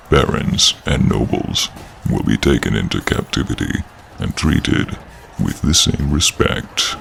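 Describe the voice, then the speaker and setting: A man voicing a giant enemy knight in a fantasy audio role-play, formal and courteous yet menacing, with an imposing, deliberate delivery. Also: fairly bright tone, slight background noise, heavily acted. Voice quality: very deep, gravelly.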